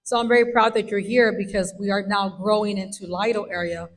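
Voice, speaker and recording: Loud, emphatic woman clerk. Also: medium pitch, steady tone, brisk. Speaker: county clerk. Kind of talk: speech to a meeting.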